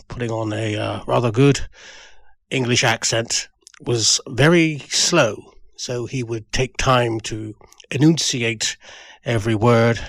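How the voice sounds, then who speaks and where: Creaky-voiced man, thoughtfully reminiscing. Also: mid-range voice, fairly bright tone, dry recording. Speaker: writer and producer, guest. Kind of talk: reminiscing, spoken tribute.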